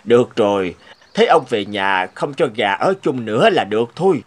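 A man voicing a calm, wry old village sage in a folktale cartoon, slow and measured. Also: mid-range voice. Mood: placid. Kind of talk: animated folktale cartoon dubbing.

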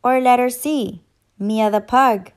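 Casting: female teacher for kids' read-aloud, high voice, very unhurried, encouraging and instructional. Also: clean tone. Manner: instructional, encouraging, addressing young children. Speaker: teacher and children's story reader. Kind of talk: children's read-aloud and quiz.

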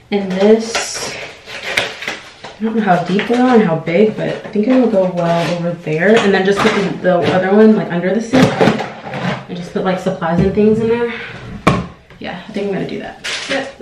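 A young American woman chatting casually for a vlog, thinking aloud in an informal way as she goes. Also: medium pitch. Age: young adult. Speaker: lifestyle vlogger. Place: small tiled bathroom, indoors. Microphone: camera mic at arm's length or propped nearby. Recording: echoey bathroom, clear household clatter noise.

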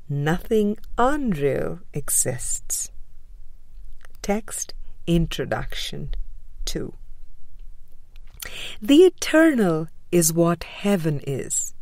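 A woman reading a spiritual book aloud in a measured, deliberate way, with frequent pauses between phrases. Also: on the low side, a little mellow, no room sound, clean studio sound. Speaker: audiobook reader.

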